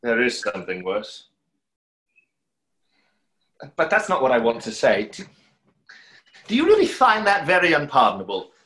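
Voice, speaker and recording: A man's voice: mid-range voice, huge pitch swings, moderate pace, pauses often, sharp tone. A man plays a stage conjurer full of self-doubt and longing. His mid-range voice rises and falls expressively as he feels his way through reflective speeches. The room rings around him, as in a play read from home over a video call. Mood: troubled, confessional. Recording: roomy echo of a video call.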